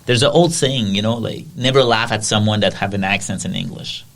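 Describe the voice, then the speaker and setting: A man's voice: on the low side, fairly quick, vocal fry, a bit bright. A man with a French accent hosting a podcast discussion, earnestly asking his guests questions. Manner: earnest, asks guests by name. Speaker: podcast host. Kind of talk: casual discussion.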